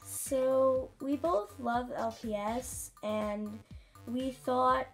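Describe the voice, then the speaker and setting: A woman's voice: sits high, drawn-out words, soft background music. A young female co-host chatting casually and rambling with many fillers. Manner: rambling, filler-heavy, giggly. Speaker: channel co-host. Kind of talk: casual chat.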